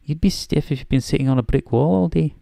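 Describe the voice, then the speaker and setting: A man's voice: a little high, fast talker, creaky, dark tone, dry recording, clean studio sound, pitched above the performer's own voice. A man voicing a stern, irritable older female professor with a Scottish accent in a bedtime story reading, clipped and indignant. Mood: ruffled, impatient. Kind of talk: softly voiced bedtime story character acting.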